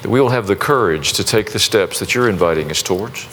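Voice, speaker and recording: Folksy pastor with restarts. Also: male voice, lowish voice, lively intonation, fast talker, a bit bright, a little hiss or hum. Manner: conversational, folksy, self-deprecating with many restarts. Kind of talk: sermon and prayer to a congregation.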